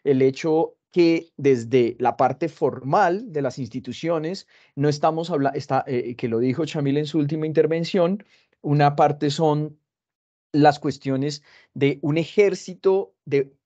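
A man in his forties moderates an academic panel from an office, his voice mellow and a touch higher than most. He hesitates often, restarting phrases and filling gaps with "eh". The call audio through his earphone mic is dull and lo-fi. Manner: halting, many fillers and restarts. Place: high-rise office with city view. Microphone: wired earphones on a video call.

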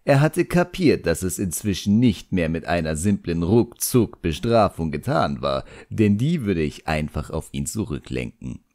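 A man's voice: deep voice, very animated, a little slow, hardly any pauses, darkish tone, no room sound, clean studio sound. A man with a standard German accent reading scripted first-person fantasy narration expressively as an audiobook narrator.

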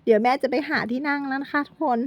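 Woman, middle-aged, chatty vlog narration, high-pitched, dry close recording. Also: fairly even intonation, very fast, no echo. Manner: chatty, addresses viewers constantly, playful self-corrections. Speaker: vlogger.

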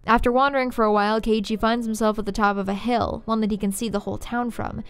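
High-voiced woman narrating a story analysis. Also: flat delivery, fast, clean tone, no echo. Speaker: video essayist.

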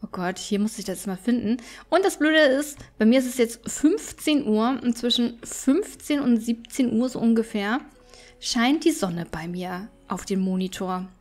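High-voiced woman chatting through a game. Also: lively intonation, unhurried, darkish tone. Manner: chatty, thinking aloud. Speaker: let's player. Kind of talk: casual gameplay commentary.

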